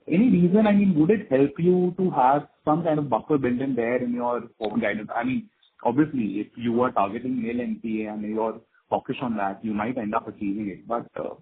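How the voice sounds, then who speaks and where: A man phoning in as an investor, asking a polite, rambling question in an unscripted way. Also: mid-range voice. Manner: rambling, polite, frequent "you know". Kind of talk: spontaneous conference call question. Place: phone call. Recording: noisy, narrow phone line.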